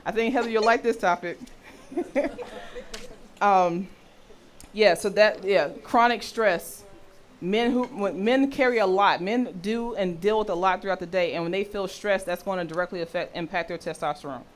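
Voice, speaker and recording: A woman with a deep voice for a woman, teaching a health session and often checking in with her listeners. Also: moderate pace. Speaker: health and intimacy educator.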